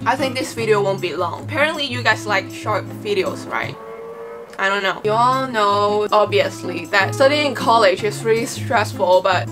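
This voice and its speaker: A young woman from Hong Kong whose first language is Cantonese, talking to the camera in a casual vlog, rambling and frank, often correcting herself. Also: mid-range voice. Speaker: vlogger. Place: small bedroom. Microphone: camera-mounted mic, seated in front of camera.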